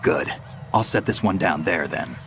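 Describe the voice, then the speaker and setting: A battle-hardened game soldier, with a very low, gruff voice. He gives tactical information tersely and flatly, over clearly audible background noise. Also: moderate pace. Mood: brisk, confident. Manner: terse, matter-of-fact. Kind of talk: video game cutscene acting.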